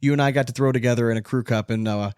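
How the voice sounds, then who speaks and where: A man's voice: medium pitch, fairly even intonation, racing, a little mellow, clear, resonant tone, no echo, clean studio sound. A man co-hosting a podcast in casual, informal chat, loosely swearing here and there. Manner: informal, lightly profane. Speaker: podcast co-host.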